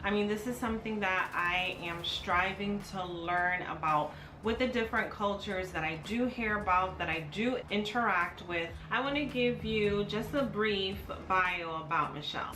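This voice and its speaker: A woman hosts her channel with a polished, formal read-through, her voice sitting at an ordinary middle pitch. The room around her is echoey and reverberant. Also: pushed and bright, slight background noise. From United States. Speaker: dietitian and channel host.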